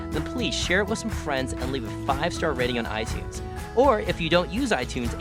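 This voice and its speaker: A man hosting a podcast, speaking courteously in interview and scripted outro narration. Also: fairly high pitch. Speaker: podcast host.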